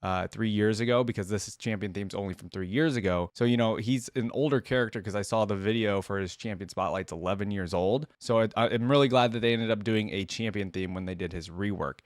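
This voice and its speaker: A man's voice: on the low side, brisk, few pauses, no echo, studio quality. A man hosting a reaction video, giving casual, informal off-the-cuff commentary and thinking aloud as he goes. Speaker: video host reacting to game content.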